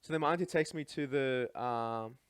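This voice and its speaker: A young man from Iraq whose first language is Arabic, recounting his life story as a podcast guest in a studio, chatty and animated, often adding "right?". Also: mid-range voice, moderate pace, smooth, no room sound. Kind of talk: casual storytelling chat.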